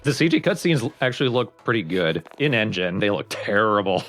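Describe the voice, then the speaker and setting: A man with a fairly low voice co-hosts a casual let's play. He explains and critiques at length in an opinionated way. Faint game music plays behind him. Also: big pitch swings, a little fast, a bit bright. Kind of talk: casual game commentary with friends. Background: video game music.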